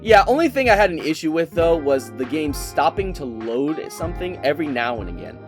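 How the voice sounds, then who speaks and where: Male game reviewer, casual narration, very animated pitch, playful, enthusiastic, faint guitar music behind. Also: brisk.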